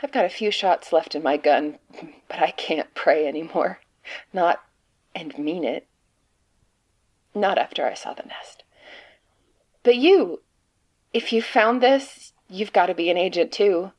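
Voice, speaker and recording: A woman playing a frightened, despairing agent trapped among monsters, delivering a halting, grimly sardonic confessional monologue in an audio drama. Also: fairly low pitch, darkish tone. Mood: resigned, urgent. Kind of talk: dramatic monologue reading of a written report.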